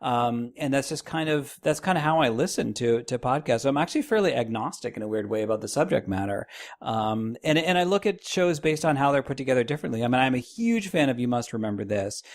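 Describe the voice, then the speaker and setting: Reflective, halting man of average pitch. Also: expressive. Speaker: podcast host as guest. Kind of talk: casual panel chat.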